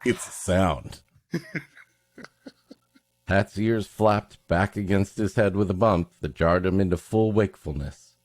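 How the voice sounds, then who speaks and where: Deep-voiced man, joking podcast host. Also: slow pace, no echo, clean studio sound. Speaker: podcast host reading a story aloud. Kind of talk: casual comic banter mixed with dramatic story reading.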